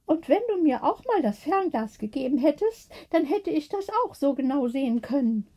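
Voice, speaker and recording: A woman voicing a chatty, grumbling sister in an animated, emphatic way. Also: sits high, moderate pace, pitched above the performer's own voice. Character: Luzi, grumbling, chatty sister who loves watching crows.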